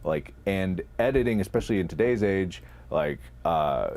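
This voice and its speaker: A man talking casually in a podcast-style conversation as a content creator, with halting, mulled-over phrasing. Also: low-pitched, expressive, a little mellow. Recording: noticeable background noise.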